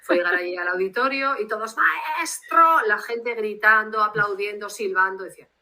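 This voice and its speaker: A woman's voice: mid-range voice, normal speed, sharp tone. A woman from Bilbao with a Basque Spanish accent, speaking as a guest translator on a video call in a reflective, rambling way. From Spain, Bilbao.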